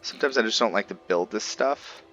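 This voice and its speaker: A man's voice: on the low side, a little fast, fairly bright tone, dull audio, soft background music. A man hosting a gameplay video, talking informally and thinking aloud to his viewers in casual roleplay commentary. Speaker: gaming video host.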